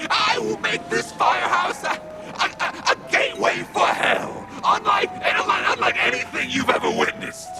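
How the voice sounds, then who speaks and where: An actor voicing a menacing, boastful ghost villain in a video game, with a pompous, taunting manner. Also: male voice, very high voice, moderate pace, gravelly, shouting, loud music behind the voice. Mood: furious, ranting.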